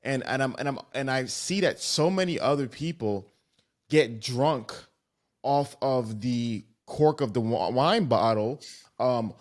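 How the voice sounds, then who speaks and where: Appreciative podcast host, halting speech. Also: male voice, medium pitch. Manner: appreciative, halting with many fillers. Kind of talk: podcast interview.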